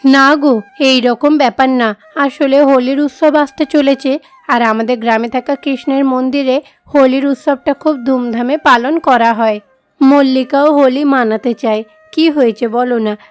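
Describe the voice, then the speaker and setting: A woman voicing a dutiful village mother in an animated moral story, coaxing and explaining things. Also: high voice, moderate pace, clear, resonant tone, lightly acted. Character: dutiful, gently persuasive village mother, middle-aged wife.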